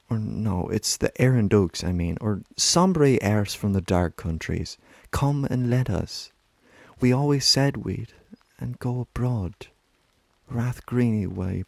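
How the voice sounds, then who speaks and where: A man's voice: fairly low pitch, warm tone, no echo. A man reciting a lyrical literary monologue as a weary, tender aging wife and river woman, in an intimate manner.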